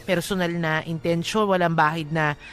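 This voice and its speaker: A woman's voice: lowish voice, steady tone, normal speed. A woman with a Filipino accent co-hosting a radio show, giving playful, emphatic advice and switching into English. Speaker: radio co-host.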